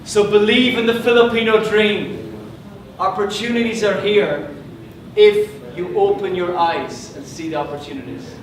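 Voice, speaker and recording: A man giving a motivational workshop speech in an emphatic, earnest manner, deliberately repeating key lines. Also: high voice, big pitch swings, low-quality recording. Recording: music behind the voice.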